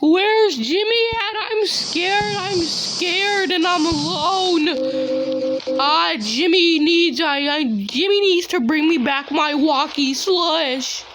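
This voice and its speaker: A performer voicing Carl, a whiny, excitable, easily scared kid-like gamer, shrieking through comedic game commentary and talking about himself in the third person. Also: very high voice, slow, very noisy. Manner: shrieking, whining, refers to self in third person. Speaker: gaming video host. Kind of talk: comedic gaming commentary in character.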